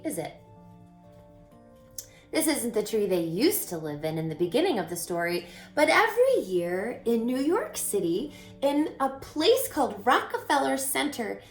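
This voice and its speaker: A woman reads a picture book aloud like a teacher with young children. Her voice sits a little high and she takes her time, delivering the story expressively with pauses for questions. The sound is noticeably roomy and echoing.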